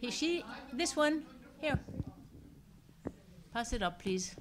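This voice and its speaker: A woman's voice: on the high side, lively intonation, takes it slowly. A woman moderating audience questions at a public talk, speaking in an informal, direct way. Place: public seminar room with audience. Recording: live hall microphone, clear background noise.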